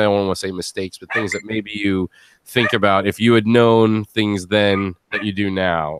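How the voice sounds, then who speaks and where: A man hosting a casual panel chat as a podcast host, in a jokey manner and quick to laugh. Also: low voice, fairly bright tone, clean tone.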